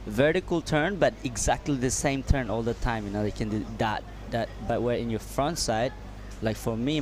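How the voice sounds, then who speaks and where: A man co-commentating a surf contest in halting, friendly English, drawing on his own surfing. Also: medium pitch. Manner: halting, friendly, laughs easily. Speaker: co-commentator and surfer. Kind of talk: live sports commentary. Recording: noisy outdoor ambience with traffic.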